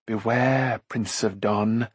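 Man voicing a slow, menacing sorceress queen. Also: medium pitch, animated, no room sound. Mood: cold, threatening. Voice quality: hoarse whispering. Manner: slow, drawn-out, threatening. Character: menacing sorceress queen, cunning, scornful, commanding. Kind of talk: audiobook character voice.

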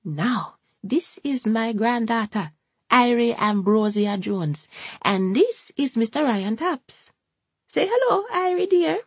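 Woman putting on a high anxious grandmother. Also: expressive, takes it slowly, breathy edge, no room sound, exaggerated voice. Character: nervous elderly Caribbean grandmother, devout.

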